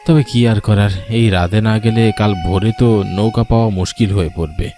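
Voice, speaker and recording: A man's voice: on the low side, fairly even intonation, normal speed, warm tone, faint music behind, natural voice. A man voicing a put-upon but dutiful office worker and grandson in a story cartoon. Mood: weary grumbling. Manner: grumbling in monologue, affectionate to elder. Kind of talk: Bengali cartoon voice acting.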